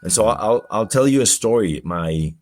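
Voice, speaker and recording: Male podcast guest, forties, deep voice, halting personal storyteller. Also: lively intonation, a little mellow. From Colombia. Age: 40s.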